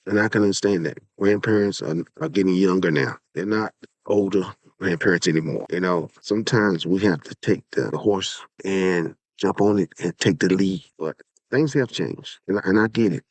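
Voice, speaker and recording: A man in his sixties speaks in a low, deep voice affected by a head cold. He talks casually and earnestly, like a podcast host urging listeners on and repeating his points. The sound is close and dry, with very little room around it. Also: moderate pace, no echo. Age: 60s. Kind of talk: casual solo podcast monologue.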